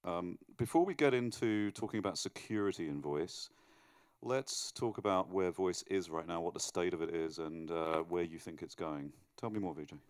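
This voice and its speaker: Deep, smooth-voiced male moderator. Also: normal speed, no room sound, studio quality. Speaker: moderator, interviewer. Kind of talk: conversational conference moderating. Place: live on stage at a conference.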